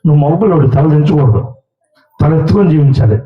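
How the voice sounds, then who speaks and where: A man's voice: a little high, animated, quick pace. A man teaching children at a Sunday school puppet show, coaxing and questioning a child in a large hall. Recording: roomy, distant, dull sound.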